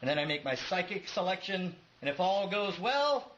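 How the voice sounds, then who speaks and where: A man's voice: sits high, sing-song, moderate pace. A man explaining a magic routine step by step as a magician giving a demonstration. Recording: noisy, echoey, muffled clip playback.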